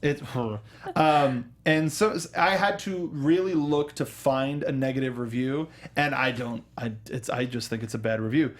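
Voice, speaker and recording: A man hosting an informal film review show, talking casually and haltingly with emphatic repetition. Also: mid-range voice. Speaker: talk show host.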